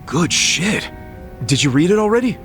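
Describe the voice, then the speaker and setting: A man's voice: high-pitched, sing-song, moderate pace, a bit bright, soft background music. An actor playing an easygoing, brash, optimistic man in a video game dub, speaking in slangy, informal dialogue. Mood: friendly. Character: easygoing, brash, optimistic street-smart man, a loyal buddy.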